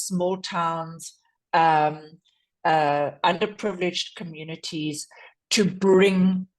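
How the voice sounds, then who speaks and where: South African woman panelist, lowish voice, deliberate slow delivery. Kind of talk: panel discussion answer.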